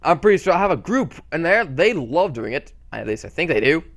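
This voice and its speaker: A fairly high-voiced young man chats into a desktop mic while recording his screen. He rambles, trips over his words and gets excited, then drops back to a low mutter. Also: fairly quick. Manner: rambling, self-correcting, excitable. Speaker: channel host. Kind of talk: casual screen-recorded tutorial chat.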